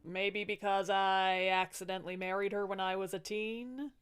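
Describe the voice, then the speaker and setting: A woman's voice: medium pitch, fairly even intonation, moderate pace, smooth, lightly acted. A woman voicing a sheepish, bumbling young husband with an overdramatic, hesitant manner in a comic folktale. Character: hapless, sheepish young husband, rabbi's son.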